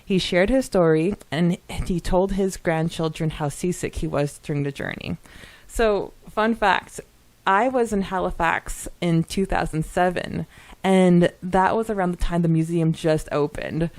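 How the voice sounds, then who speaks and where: Woman podcaster, low-pitched and close-miked. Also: unhurried, darkish tone, dry recording. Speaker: podcast co-host. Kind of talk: casual chat, reading out and commenting on listener comments.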